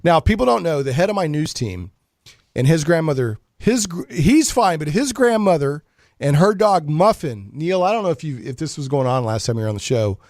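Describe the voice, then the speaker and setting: A man's voice: fairly high pitch, sing-song, a little fast, a little mellow, no echo, studio quality. A man hosting a talk show, chatting casually in a rambling, joking, mock-serious way. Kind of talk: casual chatty radio banter.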